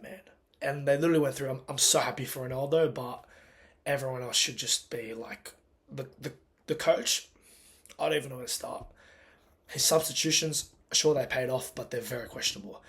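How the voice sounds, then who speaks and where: Hoarse man ranting after a match. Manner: agitated and emphatic, pitch and loudness climbing when angry. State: hoarse, lost voice. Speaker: football fan reacting after a match. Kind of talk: casual post-match reaction rant.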